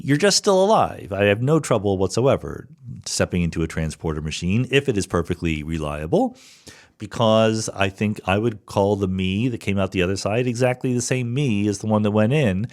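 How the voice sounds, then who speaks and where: A man hosting a podcast, patiently explaining physics ideas and often checking in with "right?" and "okay?". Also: on the low side. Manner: thinks aloud with frequent "right?" and "okay?" check-ins. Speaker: podcast host answering listener questions. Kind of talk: explanatory solo podcast talk.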